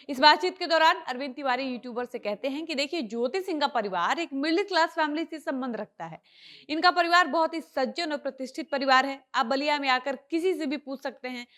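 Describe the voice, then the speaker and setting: A woman's voice: high voice, bright, forceful tone. A woman presenting an online news report, explaining the story as she retells it.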